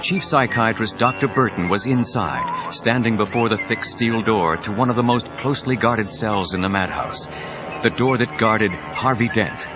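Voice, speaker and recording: An actor narrating a superhero audio drama as a suspenseful storyteller. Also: male voice, on the low side, normal speed, lightly acted. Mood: dramatic, suspenseful. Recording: dull 1990s cassette, music under voice.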